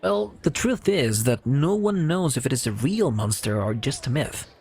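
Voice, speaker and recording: Reflective, resigned man, medium pitch. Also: animated, vocal fry, a little mellow, breathy edge. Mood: musing. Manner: explanatory, measured, musing. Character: reflective man explaining a dystopian myth, resigned. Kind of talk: radio drama acting.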